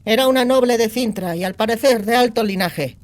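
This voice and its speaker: A woman's voice: fairly high pitch, fairly quick, lightly acted. A brash young outlaw girl played by a woman in a dramatized fantasy audiobook. She talks in slangy, crude bursts with a cynical, sardonic edge. She sounds streetwise and foul-mouthed. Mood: bitter, flippant. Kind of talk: acted audiobook dialogue.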